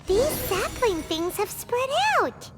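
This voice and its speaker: A woman voicing a small, childlike fairy companion in a video game, squeaky, exclamatory and quick to take offense. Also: lively intonation, exaggerated voice. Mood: curious. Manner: exclamatory, speaks of self in third person. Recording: music behind the voice.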